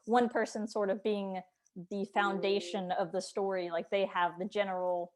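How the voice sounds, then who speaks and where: Female interviewer, medium pitch, agreeable and informal, thinking aloud. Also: level tone, normal speed. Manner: agreeable, conversational, hedging. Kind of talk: casual interview chat.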